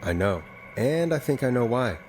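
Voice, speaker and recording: A man's voice: mid-range voice, big pitch swings, moderate pace, a little mellow, slight background noise, natural voice. A man playing a thoughtful, puzzled radio host turned investigator in a video game, conversational and musing. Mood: puzzled, investigative.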